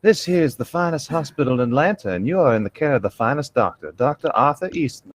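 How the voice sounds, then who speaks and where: A man voicing a sexy, reassuring southern nurse with a soft Georgia accent. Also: mid-range voice, animated, fast, slight background noise, natural voice. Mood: sweet, reassuring. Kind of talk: amateur dramatic reading.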